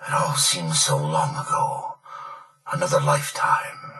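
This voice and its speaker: An actor playing a proud, bitter old tycoon kept alive by a machine in a video game, theatrical and self-pitying. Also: male voice, very deep voice, little pitch movement, measured pace, sharp tone, recorded from a distance, heavily acted. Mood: mournful. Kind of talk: dramatic video game voice acting.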